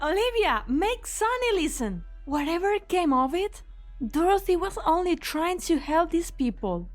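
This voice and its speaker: Very high-voiced, worried compassionate young woman, acted. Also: very animated, measured pace, faint music behind, full character voice. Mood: pleading. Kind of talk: amateur game fan dub.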